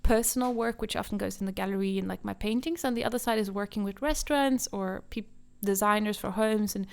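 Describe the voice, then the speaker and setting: A woman's voice: medium pitch, brisk, gravelly. A young woman from Norway, a native Norwegian speaker, talking casually as an artist being interviewed. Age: young adult. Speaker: podcast guest, visual artist.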